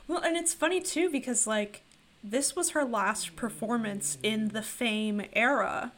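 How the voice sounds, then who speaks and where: A woman's voice: a little high, unhurried, fairly bright tone. A woman co-hosting a podcast, chatting casually and musing analytically. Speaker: podcast co-host. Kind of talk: casual chat.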